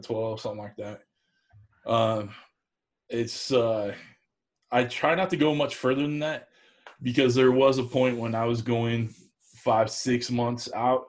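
A man's voice: mid-range voice, a little mellow. A man in a casual conversation, talking in a rambling, folksy and plain-spoken way as a show host. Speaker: co-host of an online cooking show, interview guest.

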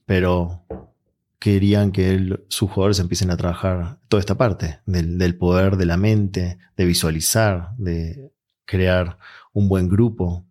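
A man with an Argentine accent, a mental coach answering interview questions in a relaxed, reflective way. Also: low voice, measured pace. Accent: Argentine Spanish. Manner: relaxed, reflective, with frequent fillers.

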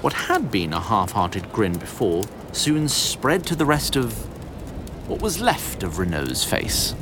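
Low-voiced, very animated male narrator. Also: unhurried, music in the background. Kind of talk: scripted dramatic storytelling narration.

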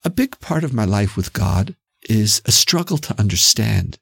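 A man's voice: fairly low pitch, very animated, moderate pace, gravelly, husky, no echo, clean studio sound. A Canadian-accented man speaking as an interview guest, reflecting on and explaining ideas emphatically, with repeated phrases for effect. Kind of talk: reflective, explanatory conversation on religion.

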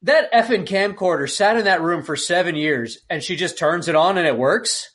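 A man's voice: high-pitched, normal speed, fairly bright tone. A man co-hosting a podcast, chatting casually about films in an exclamatory, incredulous way. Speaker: podcast co-host. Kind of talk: casual film discussion.

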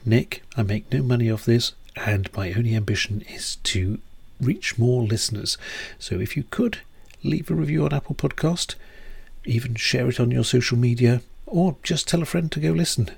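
A man with a gravelly, husky voice at a middle pitch. He speaks as a podcast host, handling introductions and interview questions in a conversational way.

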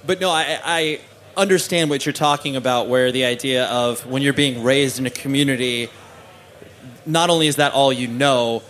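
A male host leads a casual interview at a middle pitch. He winds his way into questions, stopping and restarting with plenty of "uh"s. He sounds easygoing and conversational. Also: fairly even intonation, normal speed, fairly bright tone. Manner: meandering, hesitant, many "uh" and restarts while framing questions. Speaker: podcast host.

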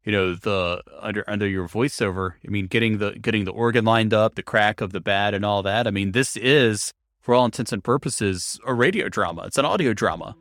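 Low-voiced man hosting a podcast, dry sound. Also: fairly quick, few pauses, a bit bright, dry recording. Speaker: podcast host and interviewer. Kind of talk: conversational interview with narrated segments.

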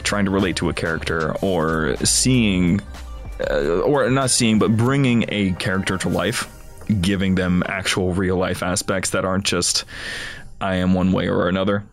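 Low-voiced man podcasting over music. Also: normal speed. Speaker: podcast host. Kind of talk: casual film discussion and plot recap.

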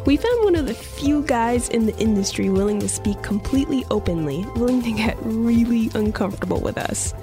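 A woman co-hosts a podcast, reading scripted narration in an even, conversational way. Faint music plays underneath her voice. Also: fairly high pitch, brisk, few pauses. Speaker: podcast co-host.

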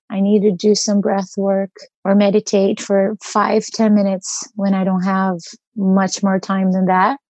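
A woman's voice: mid-range voice, dark tone, no room sound, dull audio. A woman with a Brazilian accent, speaking earnestly as an interview guest and searching for her words as she reflects.

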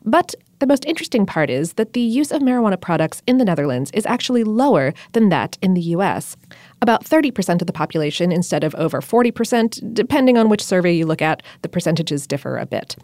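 Low-pitched woman, eager podcast co-host. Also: sing-song, a little mellow, no room sound. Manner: enthusiastic, laughs often, a little halting. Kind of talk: casual chat.

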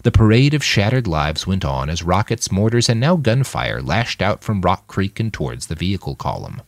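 A man reading scripted fiction as an audiobook, telling the story dramatically in measured, clipped phrases. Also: sits low, sing-song, no room sound. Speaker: author reading his own novel on a podcast.

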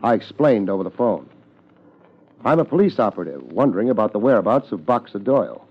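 An American actor playing a laid-back, wry, tough private detective in 1950s radio drama style, with dry wisecracks. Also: male voice, fairly low pitch, big pitch swings, fairly quick, breathy edge, full character voice. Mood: cool, probing. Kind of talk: 1950s radio detective acting, narrating and in dialogue. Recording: dull vintage 1950s radio recording.

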